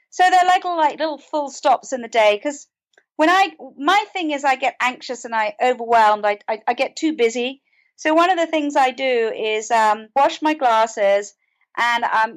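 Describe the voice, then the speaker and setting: A British woman with a high-pitched voice talks as a guest in an interview. She explains things earnestly, in a conversational style. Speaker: podcast guest, author and mental health advocate.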